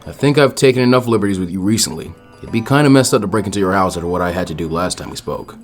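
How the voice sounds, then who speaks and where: Man voicing a gruff, teasing older ninja mentor. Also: deep voice, racing, faint music behind, pitched below the performer's own voice, lightly acted. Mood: dry teasing.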